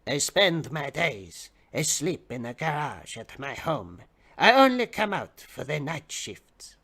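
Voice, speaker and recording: A man voicing a suave, unhurried vampire city bus in a storybook reading, charming with a calm menace. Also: a little high, heavily acted. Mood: amused, smooth. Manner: slow, smooth, charming.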